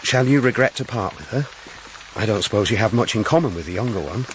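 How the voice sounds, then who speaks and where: A man's voice: fairly low pitch, lively intonation, quick pace, natural voice, rough recording. An actor playing a courteous, wry young country clergyman in a period audio drama. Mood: teasing. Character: gallant, teasing, earnest country clergyman. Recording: rain noise behind the voice.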